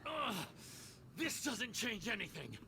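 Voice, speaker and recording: An actor playing a sly, smug hired thug in a video game, mocking and theatrical. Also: male voice, very high voice, very animated, slow, gravelly, noisy background, full character voice. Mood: bitter.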